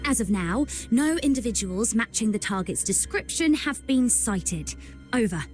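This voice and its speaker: A woman's voice: a little high, expressive, moderate pace, a little mellow, soft background music, lightly acted. A woman playing a curt young soldier on guard duty in a video game, brusque and clipped like a military report. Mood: dutiful. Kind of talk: scripted video game acting.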